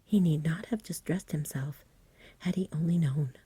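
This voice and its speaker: A woman storyteller reads in an ASMR style, in a low, very breathy whisper. Her delivery is gentle and soothing, mellow, and close to the mic. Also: moderate pace, no room sound. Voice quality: soft-spoken, whispery, breathy. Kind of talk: ASMR bedtime story reading, scripted narration.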